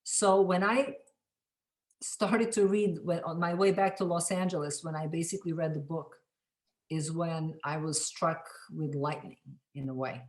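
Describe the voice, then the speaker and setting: A middle-aged woman from Serbia with a Serbian accent, telling her story as an interview guest, halting often and restarting her sentences. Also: deep voice, fairly even intonation. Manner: halting, many restarts and fillers. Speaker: film director, interview guest. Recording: echoey room.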